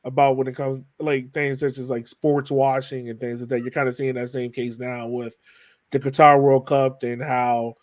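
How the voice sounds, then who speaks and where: A man's voice: medium pitch, little pitch movement, normal speed, darkish tone, no room sound. Dry, close-sounding man hosting. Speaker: podcast host. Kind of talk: casual conversational interview.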